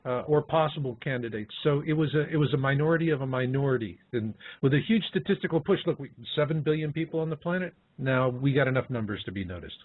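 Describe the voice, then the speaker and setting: A man's voice: medium pitch, animated, fast, hardly any pauses, no echo. An older man in a conversational interview, explaining his ideas and asking questions as a co-host. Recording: thin phone-like line.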